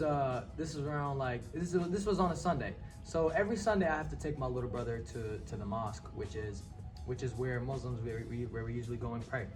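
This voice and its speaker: A young man from Palestine talks in an earnest, reflective way, circling back and restarting his sentences as he tells his story. He sits a little back from the microphone, so his voice sounds distant, and clear music plays behind him. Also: mid-range voice. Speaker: podcast guest. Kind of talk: casual storytelling chat.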